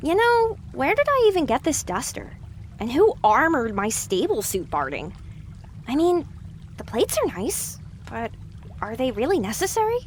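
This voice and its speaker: A woman's voice: sits high, wildly swooping pitch, moderate pace, slight background noise. A woman playing a meek, naive, chatty young pony filly in an audio drama. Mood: chatty, puzzled. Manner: meek, questioning.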